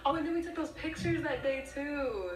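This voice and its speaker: A young woman casually telling a story with a playful, animated, cursing manner, heard from a video being played back. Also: high voice, moderate pace. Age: young adult. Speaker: vlogger. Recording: echoey far-off video playback with music.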